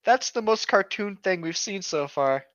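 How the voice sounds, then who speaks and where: A man's voice: high voice, animated, measured pace, a bit bright, dry recording, highs cut off. A man co-hosting a comedy show, casually reading aloud with mocking, sweary commentary.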